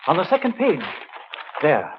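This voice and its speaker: Gentleman accomplice, very lively intonation, courteous, apologetic, muffled vintage radio sound. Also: fairly high pitch, normal speed. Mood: urgent. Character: apologetic, well-mannered English gentleman accomplice. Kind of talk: 1940s radio acting. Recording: muffled vintage radio recording, faint hiss.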